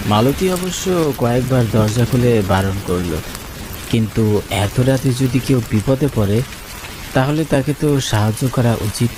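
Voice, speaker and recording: A man acts an earnest, kindly village trader in a cartoon, telling his own story. His medium-pitched voice is that of a suspenseful storyteller. Loud rain and thunder noise runs heavily over the recording. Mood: puzzled, suspenseful. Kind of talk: first-person cartoon story narration with dialogue.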